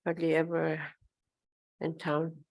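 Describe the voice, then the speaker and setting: Older woman elder, Oji-Cree first language; gravelly, slow, flat delivery on a handheld mic. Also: deep voice, highs cut off. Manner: slow, hesitant, many pauses and throat clearing. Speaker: elder speaker. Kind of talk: informal talk at a gathering. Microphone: handheld microphone.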